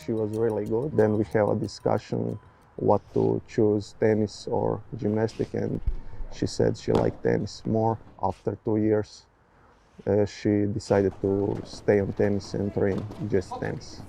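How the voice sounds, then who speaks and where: Guy interviewed, low gravelly creaky voice, pretty monotone. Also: a little slow, soft background music. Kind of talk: interview talk.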